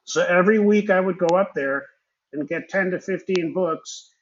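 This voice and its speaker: Older guest, Hebrew native speaker, husky voice, muffled lo-fi remote audio. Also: male voice, sits high, moderate pace. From Israel. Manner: rambling, reflective, with fillers. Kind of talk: conversational storytelling.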